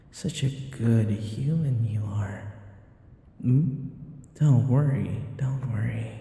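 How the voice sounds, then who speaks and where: A man playing a possessive, teasing vampire in an ASMR roleplay, with a breathy voice that often drops to a whisper and a coaxing, taunting manner. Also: on the low side, warm tone, slight background noise. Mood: hypnotic, coaxing. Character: possessive, teasing male vampire who hypnotizes his captive.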